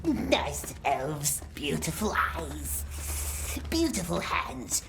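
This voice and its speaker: Wretched, whispering, hissing creature, wheedling, drawn-out delivery; fan audio drama. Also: female voice, deep voice, very animated, gravelly, heavily acted. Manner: hissing whispers, talks of himself as we. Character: Gollum, wretched hissing creature, cringing and sly.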